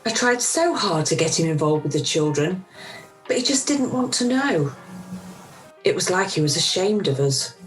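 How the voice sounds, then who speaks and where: A woman's voice: lowish voice, very animated, normal speed, rough recording. A middle-aged woman playing a weary, emotional mother who confides wryly in a radio play, recorded over a video call. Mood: regretful. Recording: amateur video-call recording with music behind.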